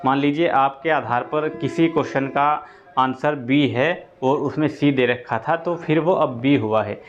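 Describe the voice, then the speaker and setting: A man's voice: mid-range voice, measured pace. A man gives an informal explanatory update to students, going step by step and repeating key points. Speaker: exam-update presenter for students. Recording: dull lo-fi audio.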